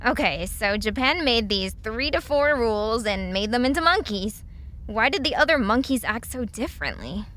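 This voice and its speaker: Blunt, high-voiced young skeptic. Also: female voice, animated, normal speed, a bit bright. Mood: exasperated. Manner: blunt, exasperated. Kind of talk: audio drama acting.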